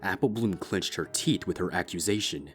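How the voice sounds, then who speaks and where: A man with a low, deep voice reads a dark story aloud in a steady, measured way. Soft music sits faintly underneath. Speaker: fanfic reader. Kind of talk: scripted narration.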